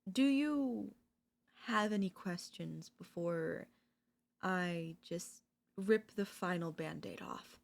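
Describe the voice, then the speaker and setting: A woman voice actor playing an obsessive older female professor in an ASMR audio roleplay, coaxing and deliberate, with dramatic pauses. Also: on the low side, vocal fry. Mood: coaxing, earnest.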